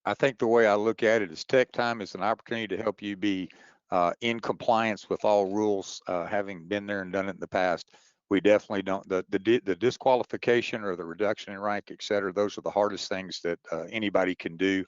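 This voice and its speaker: Male webinar host, very deep voice, informal official briefing, rephrases often, echoey muffled audio. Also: fairly even intonation, fast talker, clean tone. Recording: dull, roomy webinar audio.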